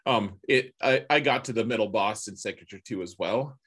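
A man co-hosting a gaming podcast, chatting casually and colloquially with a husky voice and strong opinions. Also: lowish voice, normal speed, a bit bright. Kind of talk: casual chat about a mobile game.

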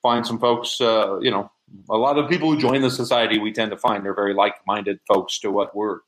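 A man's voice: fairly low pitch, steady tone, brisk, low-quality recording. A man chatting casually as an interview guest, thinking aloud in a folksy way. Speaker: interview guest, audio drama producer.

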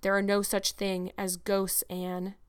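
A woman voicing a stern, practical middle-aged guardian in a storybook, with a brisk, dry, scolding manner. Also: medium pitch, completely flat delivery, clean tone, natural voice.

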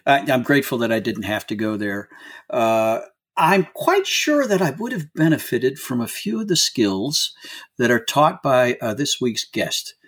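Male podcast host, husky voice, relaxed, chatty delivery with fillers. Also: mid-range voice, measured pace. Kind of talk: podcast conversation.

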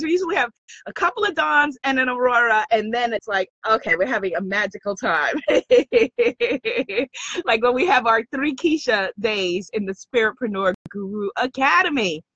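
A woman with a slightly hoarse voice hosts a live stream, effusively greeting viewers by name. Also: a little high, expressive, normal speed, pushed and bright, no echo, lo-fi sound. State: slightly lost voice. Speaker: host of a live spiritual business stream.

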